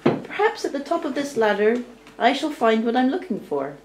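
A woman's voice: a little high, big pitch swings, moderate pace, background noise. A woman voicing an eager, insistent, horse-loving princess in a storybook puppet tale. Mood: curious. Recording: roomy, distant amateur recording.

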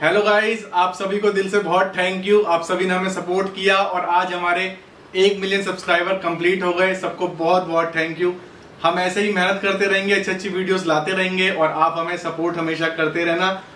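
A man's voice: sits high, little pitch movement, fairly quick, sharp tone, distant mic. A man, speaking as a channel host thanking his subscribers. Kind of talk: thank-you message to subscribers. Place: indoor room in front of curtains. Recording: echoey room, off-mic, light noise.

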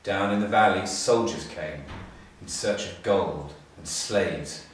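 A middle-aged man narrating a children's storybook in a measured, expressive way with pauses. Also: deep voice, slight background noise. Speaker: storybook reader, school staff. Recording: echoey room, distant mic.

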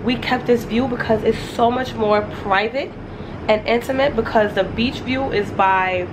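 A woman's voice: a little high, steady tone, moderate pace. A woman chatting casually to viewers as she gives a tour of a hotel suite and its balcony. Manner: chatty, addresses viewers as y'all. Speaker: travel vlogger co-host. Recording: noisy room ambience.

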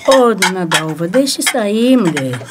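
Actress as a folksy, lively Brazilian ex-schoolteacher. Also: female voice, medium pitch, normal speed, loud music behind the voice, natural voice. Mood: chatty. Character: friendly, homely female former schoolteacher with plans. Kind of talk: radio soap opera acting. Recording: clattering dishes behind the voice.

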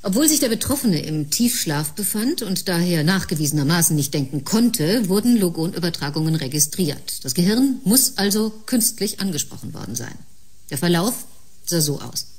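Insistent lady scientist in a sci-fi audio drama, lowish husky voice, lectures firmly. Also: a little mellow. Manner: deliberate, lecturing, pointed. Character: assertive female scientist, cool and insistent expert. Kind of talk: German sci-fi radio play acting.

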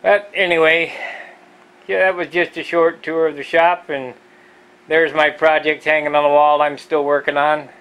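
A man giving a casual, rambling tour of his home model-airplane workshop, halting with fillers and clearing his throat, recorded on a handheld camera. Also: a little high, little pitch movement, a bit bright, rough recording. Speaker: model airplane builder, channel host. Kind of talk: casual shop tour vlog. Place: cluttered home hobby workshop, indoors.

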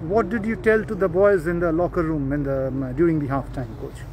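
A man's voice: a little high, fairly even intonation, brisk, low-quality recording. A man asking post-match interview questions outdoors at a football ground. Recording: outdoor crowd noise around.

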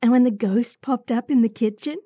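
A woman's voice: on the high side, brisk, dark tone, husky, no room sound, studio quality. A woman playing a young, idealistic, hot-tempered werewolf in an audio roleplay drama, speaking casually and bluntly. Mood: affectionate, casual.

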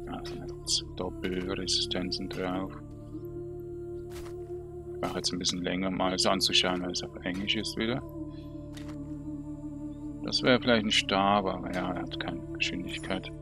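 Swiss German man with a low voice. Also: fairly even intonation, normal speed, pauses often. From Switzerland. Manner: chatty, self-talking, hesitant with fillers. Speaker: gaming video creator. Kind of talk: casual gameplay commentary. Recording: game music under voice.

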